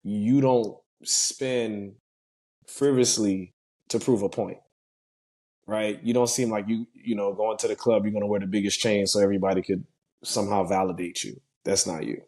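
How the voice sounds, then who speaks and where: A man hosting a podcast in casual conversation, relaxed and full of fillers. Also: lowish voice, moderate pace. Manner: relaxed, filler-heavy, affirming. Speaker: podcast host.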